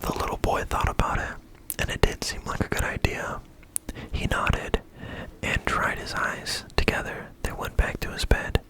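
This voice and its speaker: A storyteller reading a bedtime story in a soft whisper and adding dry asides. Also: normal speed, poor audio.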